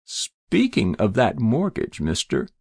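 Stubborn, uneasy farmer, played by a male storyteller: folksy, dogged, creaky and husky, for dramatic storytelling. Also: fairly low pitch, wildly swooping pitch, slow pace, dry recording, clean studio sound, exaggerated voice. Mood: wary. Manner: folksy, plain-spoken, dogged.

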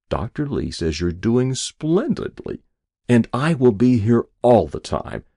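A man voicing a gentle middle-aged wife at a sickbed, tender and reassuring, in a narrated story. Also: mid-range voice, slightly rough, no room sound, studio quality, pitched above the performer's own voice, full character voice. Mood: soothing.

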